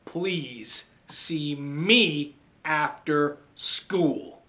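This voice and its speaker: A man voicing a strict, exasperated female schoolteacher in a storybook, with sharp calls and drawn-out stern words. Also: on the high side, highs cut off, exaggerated voice.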